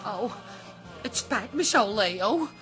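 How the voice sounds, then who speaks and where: Radio comedy: fretful barmaid-landlady, bright voice, highly expressive. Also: slow pace, soft background music, low-quality recording. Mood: defensive, sheepish. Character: contrite, fretful female pub landlady. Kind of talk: Dutch radio comedy-drama acting.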